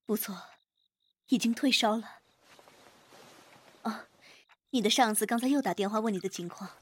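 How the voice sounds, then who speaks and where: Caring confidante, a woman acting: soft husky breathiness, attentive and gentle, for radio drama. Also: on the high side, moderate pace, lightly acted. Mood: gentle, concerned. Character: gentle, attentive female confidante tending someone. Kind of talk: Chinese audio drama acting.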